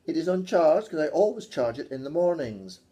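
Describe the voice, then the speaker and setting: A man's voice: fairly high pitch, very animated, brisk, mellow. A Scottish man teaching a casual video English lesson, joking and teasing his colleague as he talks. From Scotland. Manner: playful, teasing, jokey asides.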